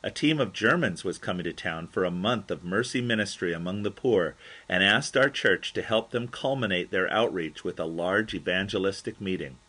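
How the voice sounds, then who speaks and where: A man with a husky voice reading his own memoir aloud as an audiobook, telling it as an expressive storyteller. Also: low-pitched, normal speed, few pauses. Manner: expressive storyteller, acts out quoted dialogue.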